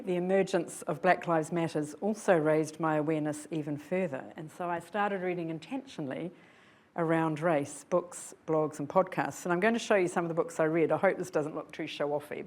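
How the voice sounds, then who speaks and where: A woman's voice: low voice, normal speed, darkish tone. An older woman from New Zealand giving an earnest, reflective public lecture, often posing rhetorical questions to her audience. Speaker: guest lecturer. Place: lecture hall.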